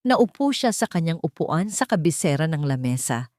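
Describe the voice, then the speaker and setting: A woman's voice: low-pitched, sing-song, normal speed, warm tone, no echo, studio quality. A woman giving a dramatic scripted narration for an audio drama.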